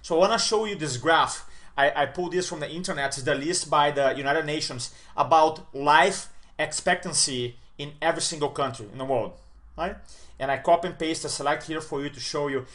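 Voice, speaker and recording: A man in his forties giving an upbeat motivational talk straight to camera as a speaker and coach. He piles up repeated words for emphasis and swings from loud bursts to quiet lines. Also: fairly high pitch, fairly quick. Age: 40s.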